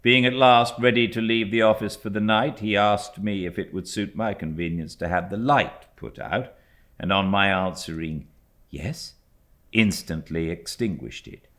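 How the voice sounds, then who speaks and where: A man with a British accent reading a classic novel as an audiobook narrator, in a measured, expressive storytelling manner. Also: fairly low pitch. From Britain. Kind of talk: literary scripted narration.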